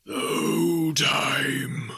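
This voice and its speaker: A man voicing the anguished ghost of a dead businessman in a storybook reading, solemn and declamatory, with drawn-out phrases and a breathy, hoarse wail. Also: mid-range voice, fairly even intonation, pushed and bright, dry recording, clean studio sound, pitched above the performer's own voice, full character voice. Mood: anguished, weary.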